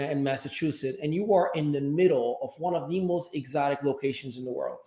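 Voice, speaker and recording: An upbeat talk host leads the chat with playful teasing. The voice sits at a middle pitch and rings with the echo of the room around the mic. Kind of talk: casual chat.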